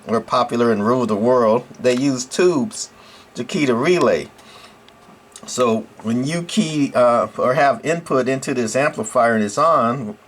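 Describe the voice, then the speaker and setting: A man presenting an informal hands-on technical demo in a halting, folksy, explanatory way, recorded indoors on a camera microphone. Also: mid-range voice. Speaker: channel host. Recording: clear background noise, room ambience.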